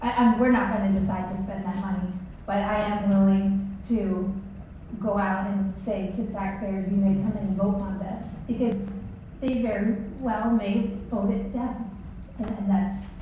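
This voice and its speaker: A woman's voice: medium pitch, fairly even intonation, a little slow, slight background noise. A woman chairing a town board meeting, firm and procedural. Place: town office meeting room. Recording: echoey hall, distant mic, dull.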